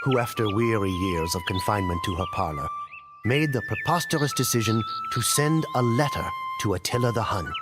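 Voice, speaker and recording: A man playing a solemn chronicler in a game cutscene, telling a tale of conquest in a grave, measured, dramatic storytelling style. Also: fairly low pitch, heavy background noise, close to an everyday voice. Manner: grave, measured, deliberate pauses. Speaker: narrator of a game campaign cutscene. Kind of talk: dramatic scripted storytelling narration. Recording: music behind the voice. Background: music.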